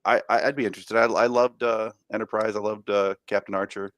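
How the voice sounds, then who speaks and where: A man's voice: lowish voice, steady tone, brisk, a bit bright, no echo. A man co-hosting a podcast, chatting eagerly with many stammered restarts. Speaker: podcast co-host. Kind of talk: casual chat.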